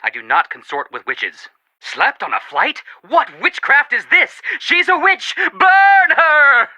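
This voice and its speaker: An actor voicing an excitable, flippant young male amateur detective in a video game, joking in an exaggerated, mock-dramatic way. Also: very high-pitched, takes it slowly, no room sound, dull audio, exaggerated voice. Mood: teasing. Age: young adult.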